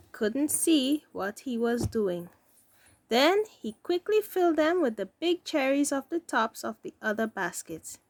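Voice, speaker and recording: A woman reading a children's story aloud as narrator, with deliberate, careful phrasing. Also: a little high, a little mellow, dry recording. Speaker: story reader.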